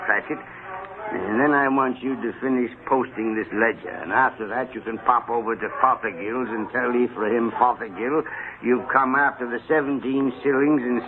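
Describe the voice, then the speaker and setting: Man playing a gruff, miserly old grouch, tinny recording. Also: medium pitch, natural voice. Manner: curt, snapping, contemptuous. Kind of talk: 1930s radio drama acting. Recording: scratchy, tinny old radio broadcast.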